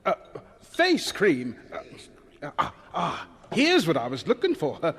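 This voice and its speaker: An actor playing an elderly, folksy, slyly humorous scientist who fusses and hesitates in a radio drama. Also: male voice, a little high, wildly swooping pitch, a little slow, gravelly, fairly bright tone, slightly rough, heavily acted. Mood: fussing, amused. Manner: hesitant, fussing, with muttered asides. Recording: reverberant hall.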